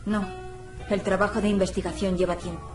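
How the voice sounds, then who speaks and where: An actress playing a sharp-tongued, skeptical young woman in a video game, with a clipped, sarcastic manner. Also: mid-range voice, little pitch movement, fairly quick, distant mic, close to an everyday voice. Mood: curt. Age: young adult. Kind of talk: video game dialogue acting. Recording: echoey room, music behind.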